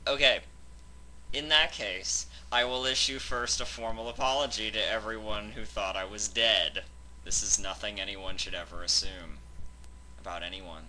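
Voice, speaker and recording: Bright, forceful man bantering as channel host. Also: lowish voice, fairly even intonation, moderate pace, a little hiss or hum, rough recording. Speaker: fanfic reading channel host. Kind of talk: casual banter introducing a reading.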